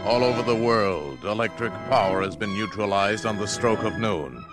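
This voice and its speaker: A man narrating a radio drama in a solemn, deliberate, portentous style. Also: lowish voice, expressive. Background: music.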